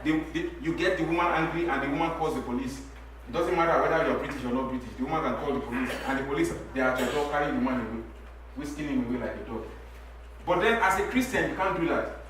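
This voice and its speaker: A middle-aged Nigerian man with a fairly high voice speaks on a church panel, animated and repetitive, breaking into laughter as he makes points. His voice rings through a very echoey hall, picked up from some distance, with faint room noise. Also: quick pace. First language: Yoruba. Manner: animated, repeats words, laughs while making points. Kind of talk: lively church panel talk.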